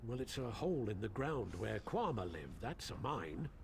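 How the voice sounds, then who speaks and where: A man's voice: on the low side, very animated, normal speed, a little hiss or hum. A man voicing a timid, hesitant mine keeper who is hurt and pleading, in a video game. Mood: explaining. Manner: hesitant, stammering.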